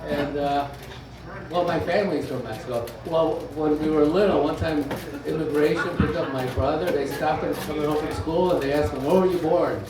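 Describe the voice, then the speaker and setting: A man from New Jersey telling stand-up stories on stage, dry and self-deprecating, with hesitant pauses. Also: a little high. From New Jersey, USA. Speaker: stand-up comedian. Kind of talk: stand-up comedy set. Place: comedy club stage. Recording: echoey club heard from a distance, loud audience laughter. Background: loud crowd laughing.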